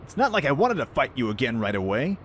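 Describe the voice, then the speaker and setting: Man acting cocky young pirate, very animated swooping pitch, boisterous, radio play, faint noise. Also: fast talker, close to an everyday voice. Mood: cocky, playful. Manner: boisterous, casual. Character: brash, playful young male pirate master.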